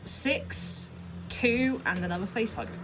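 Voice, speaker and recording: A woman's voice: on the high side, unhurried, noisy background. A woman speaking casually as a player in a tabletop roleplaying game. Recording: prominent background music.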